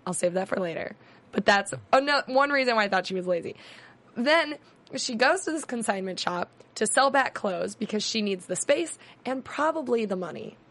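A young woman hosting a casual recap show, a bit hoarse, talking quickly and chattily. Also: on the high side, animated, fairly bright tone. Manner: chatty, quick asides, laughing. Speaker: host. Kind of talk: casual chat.

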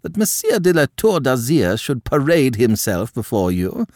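Guy putting on a high shocked snooty countess, scolding, voice leaps all over, dry close sound. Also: big pitch swings, moderate pace, a little mellow, dry recording, natural voice. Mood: indignant. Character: haughty prudish aristocratic older countess.

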